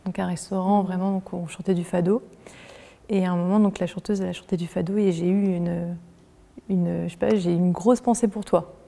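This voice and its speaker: A woman in her twenties talking casually in an interview, hesitant, with many pauses and fillers. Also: on the low side, steady tone, gravelly, mellow, big-room sound. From France. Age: 20s. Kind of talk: casual conversation.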